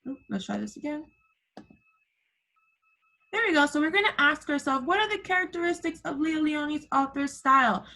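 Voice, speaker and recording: High-pitched woman teaching kindergarten. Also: animated, moderate pace, pauses often, bright, forceful tone, rough recording. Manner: encouraging, uses endearments and simple prompts. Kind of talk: interactive online read-aloud lesson for young children. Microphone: computer microphone on a video call.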